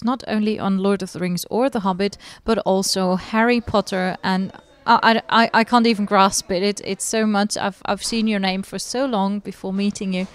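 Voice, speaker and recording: A woman's voice: medium pitch, clear, resonant tone. A woman hosting a podcast interview, speaking in a conversational style.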